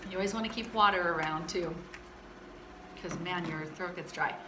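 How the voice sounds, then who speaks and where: A woman teaching a vocal warm-up lesson for choir singers, chatty and casual, with a naturally low speaking voice. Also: moderate pace, echoey room, background noise. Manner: chatty, demonstrates belting and singing.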